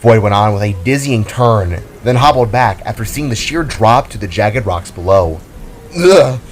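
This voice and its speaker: Lowish-voiced man narrating fantasy drama. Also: moderate pace, slight background noise. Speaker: narrator and storyteller.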